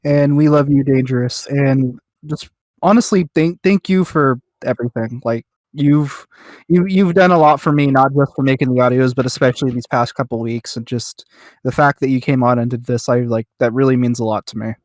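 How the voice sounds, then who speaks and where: A man's voice: mid-range voice, normal speed, dry recording. A man hosting a podcast in casual chat, earnest and appreciative, with a slightly hesitant delivery. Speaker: podcast host.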